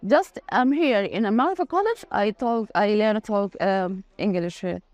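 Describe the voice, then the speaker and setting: A woman whose first language is Arabic, speaking halting, limited English in an interview and searching for words. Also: medium pitch, darkish tone, dry recording.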